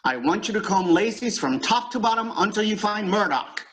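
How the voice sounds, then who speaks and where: A man's voice: sits high, expressive, moderate pace, fairly bright tone. A man playing a flustered, impatient boss in old-time radio comedy style, blustering and stammering. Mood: exasperated, blustery. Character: flustered, impatient boss with a dictation-taking secretary.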